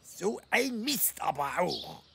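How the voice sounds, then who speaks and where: A woman's voice: lowish voice, very animated, takes it slowly, creaky, background noise, exaggerated voice. A woman voicing a cheeky, grumbling little male earthworm with childish, exclaiming delivery in a children's educational cartoon. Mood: indignant.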